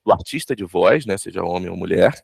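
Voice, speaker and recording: A man's voice: sits low, lively intonation, brisk, gravelly, a little mellow, slightly rough, no room sound, studio quality. A man with a Brazilian Portuguese accent giving casual interview advice, explaining things with emphatic repetition. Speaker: voice actor giving career advice.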